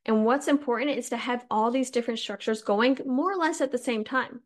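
A woman hosting a podcast, explaining land management in a chatty, informal way with her voice slightly hoarse. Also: a little high, fairly quick. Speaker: podcast host. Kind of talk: casual educational talk on forestry.

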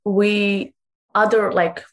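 A woman's voice: mid-range voice, flat delivery, takes it slowly. Twenties woman from Morocco, casual chatty guest, filler-heavy delivery. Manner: chatty, frequent "like" fillers, laughs easily. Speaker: guest author. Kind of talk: casual interview talk.

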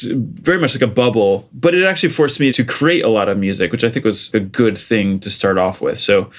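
A man in his thirties from Portugal with an American accent, a guest chatting casually about his start in music, with many "like" and "you know" fillers. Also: fairly low pitch. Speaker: interview guest, music producer. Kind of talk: casual conversational storytelling.

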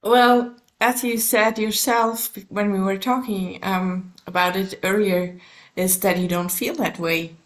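A woman from Switzerland whose first language is German, speaking English with a Swiss German accent learned partly in the US. She is a guest in a casual podcast interview and talks in a measured way, pausing to search for words. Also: mid-range voice, steady tone. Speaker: guest, subconscious coach and therapist.

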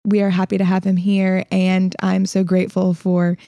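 A young woman with a light Southern accent hosting a casual podcast, upbeat and quick to laugh. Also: medium pitch, monotone, mellow, no echo, studio quality. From Illinois. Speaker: podcast host.

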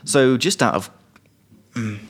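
A young British man chats casually on a podcast. He is easygoing and keeps explaining English expressions with handy example sentences. His voice sits at an average pitch. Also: slow pace, slightly rough. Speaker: podcast co-host. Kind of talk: casual chat.

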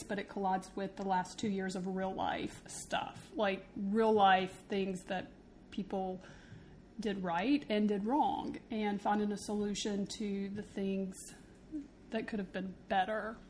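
A woman with a mid-pitched voice chats as a podcast co-host in a casual, unscripted way. The recording carries noticeable background noise and room ambience. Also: little pitch movement, unhurried, darkish tone.

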